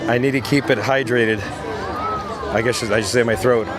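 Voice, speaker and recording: A hoarse man hosting a live walking vlog outdoors, coughing now and then and reading viewer comments aloud. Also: mid-range voice, steady tone, normal speed. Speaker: vlogger host. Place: outdoors at a busy theme park. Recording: loud crowd and outdoor noise. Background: crowd chatter.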